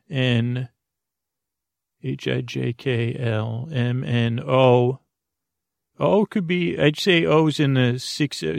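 Gravelly, creaky-voiced man rambling softly to himself. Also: mid-range voice, no echo, clean studio sound. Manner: hesitant, trailing off and circling back; whimsical, absurdly earnest musing. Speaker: sleep podcast host. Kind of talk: rambling, meandering bedtime monologue.

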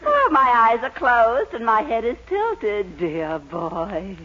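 A fluttery, amorous older American widow in a vintage radio comedy. She speaks slowly in a bright, sharp voice. The old recording is muffled, with an audience behind. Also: fairly high pitch, sing-song, breathy edge, heavily acted. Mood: coy, amorous. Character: coy, gray-haired widow, fluttery and amorous. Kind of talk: 1940s radio comedy acting.

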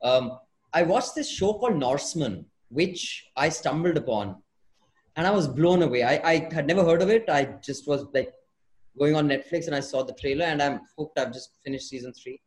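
A man hosting a casual discussion, politely and haltingly steering the conversation. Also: fairly high pitch, lively intonation. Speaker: podcast host, moderator.

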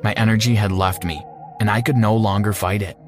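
A repentant teenage bully played by a man tells his story in the first person. The voice is fairly low, earnest and dramatic, with music running underneath. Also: fairly even intonation, a little fast, close to an everyday voice. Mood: resigned, then amazed.

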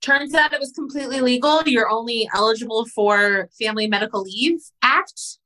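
A woman in her thirties whose first language is Spanish, telling her story in an interview in a frank, animated way and swearing casually. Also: fairly high pitch, moderate pace, low-quality recording. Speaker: guest entrepreneur.

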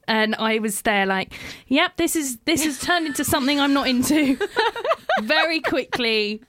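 A woman's voice: high-pitched, sing-song, unhurried, a bit bright, dry recording, clean studio sound. A woman co-hosting a podcast who tells an amused story in a casual chat.

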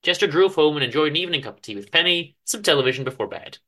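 A man's voice: a little high, expressive, very fast, sharp tone. A man narrating a scripted story dramatically, with a theatrical delivery.

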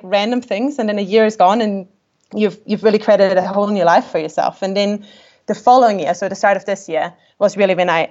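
A woman's voice: medium pitch, darkish tone, lo-fi sound. A woman in her twenties from Austria, with an accent mixing Austrian and New Zealand tones, chatting as a podcast guest, often laughing and restarting her sentences. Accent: Austrian with a New Zealand tinge. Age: 20s. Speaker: podcast guest, travel photographer. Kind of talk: casual interview chat.

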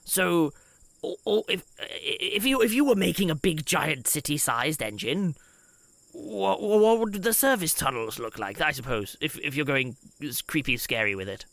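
A man with a creaky, gravelly voice and very lively pitch, recorded close and dry. He improvises at a gaming table, hesitant and wordy, with a wry streak. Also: unhurried, no echo. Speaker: player in a tabletop role-playing show. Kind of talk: improvised in-character table talk.

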